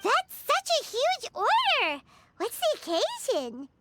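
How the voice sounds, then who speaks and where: A tiny floating girl companion from an anime game. The voice is extremely high, squeaky and childlike, bouncing widely in pitch with exclamations. Loud music plays underneath. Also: wildly swooping pitch, slow pace, full character voice. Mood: surprised. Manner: refers to self in third person, exclamatory.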